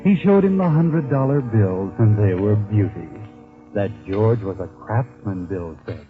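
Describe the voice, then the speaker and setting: Sardonic radio mystery narrator, swooping intonation. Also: male voice, on the low side, expressive, measured pace, music in the background. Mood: storytelling. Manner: sardonic, dramatic, addressing the character directly. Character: knowing, taunting male storyteller haunting a crime tale. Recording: thin, phone-like vintage 1940s radio recording.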